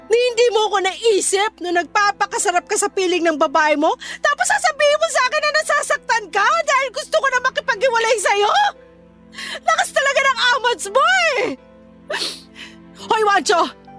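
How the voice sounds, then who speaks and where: A woman's voice: very high voice, a bit bright. An actress with a Filipino accent playing a betrayed, furious young woman in a radio drama, scolding with intense anguish. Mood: anguished, angry. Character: betrayed, furious, heartbroken young woman leaving her cheating boyfriend.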